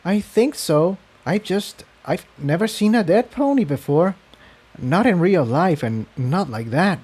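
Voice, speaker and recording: A man voicing a sensitive young female pony who speaks in a halting, earnest way. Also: high-pitched, lively intonation, darkish tone, breathy edge, voice pushed up, heavily acted. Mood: shaken.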